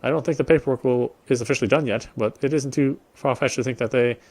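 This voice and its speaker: A man's voice: medium pitch, steady tone, quick pace, a little mellow. A man doing casual let's play commentary and reading a game's story text aloud. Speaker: game streamer. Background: game music.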